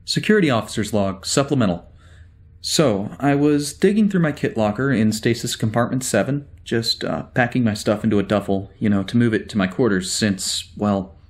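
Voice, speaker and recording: A man playing a wry, easily exasperated security officer in a sci-fi audio drama, talking in a halting, conversational way. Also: on the low side, close to an everyday voice. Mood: casual, rambling.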